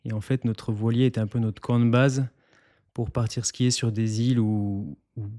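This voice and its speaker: A mountain guide from eastern France, answering interview questions in a calm, explanatory way with some hesitations. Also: male voice, mid-range voice, normal speed. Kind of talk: interview guest.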